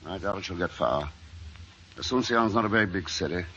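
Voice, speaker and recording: Low, hard-edged radio investigator. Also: male voice, moderate pace. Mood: cool, dry. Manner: terse, deadpan, commanding. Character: cool, unflappable male investigator hero. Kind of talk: 1940s-50s radio drama acting. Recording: muffled old radio, faint noise.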